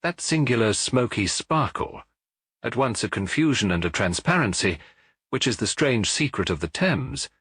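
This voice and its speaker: A British man reading a detective story as an audiobook, in a measured, precise literary narration. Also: medium pitch, a bit bright, husky, dry recording.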